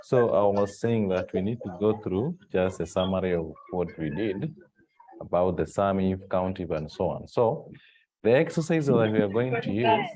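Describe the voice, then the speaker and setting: A man teaching a spreadsheet lesson to an online class, explaining in a halting, repetitive way with frequent pauses. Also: sits low, warm tone, a little hiss or hum, low-quality recording. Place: live online class over a microphone.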